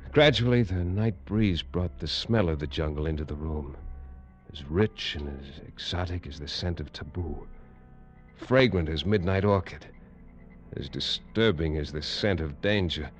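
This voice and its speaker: A deep-voiced hard-boiled detective. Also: male voice, a little slow, soft background music. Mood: tense. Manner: clipped, hard-boiled narration. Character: tough American detective narrator. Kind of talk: 1950s radio drama acting. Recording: dull old radio recording.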